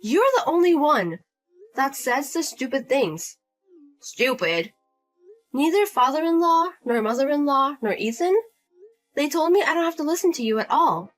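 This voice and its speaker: A woman's voice: sits high, lively intonation, moderate pace, faint music behind, pitched above the performer's own voice. A woman voicing a fed-up young wife who answers curtly and repeats herself firmly. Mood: defiant.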